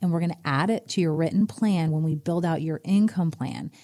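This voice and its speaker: A middle-aged woman chats on a financial radio show in a mellow, fairly low voice. She is animated and drops quick exclamations as she runs through the numbers. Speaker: podcast co-host, financial advisor. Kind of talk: casual radio chat.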